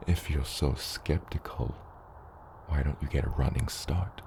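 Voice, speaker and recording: A man voicing a patient, gently teasing adult dragon father in an ASMR roleplay, with a deep, gravelly, partly whispery voice and a measured, mentoring manner. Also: noisy background, full character voice. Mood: encouraging, amused. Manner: slow, measured mentoring with hesitant asides. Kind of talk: ASMR roleplay, acted monologue to the listener.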